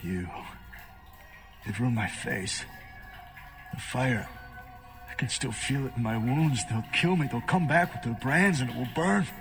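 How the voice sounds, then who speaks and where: An actor in a video game playing a burned, tortured vampire prisoner who speaks in a halting, desperate, gravelly voice. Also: male voice, mid-range voice, expressive. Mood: terrified, pained. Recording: loud music behind the voice.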